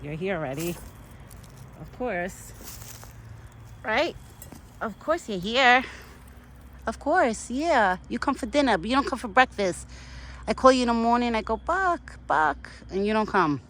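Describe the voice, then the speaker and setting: A woman's voice: big pitch swings, a little slow, pauses often, fairly bright tone. Chatty woman cooing at a deer. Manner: chatty, switches to high sing-song baby talk for the deer. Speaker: vlogger talking to a wild deer. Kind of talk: casual chatty vlog. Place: outdoors in a yard.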